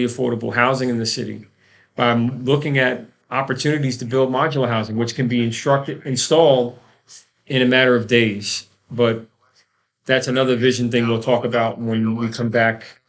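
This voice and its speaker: Guy hosting a radio talk show, lots of fry in his voice, conversational interview style. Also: medium pitch.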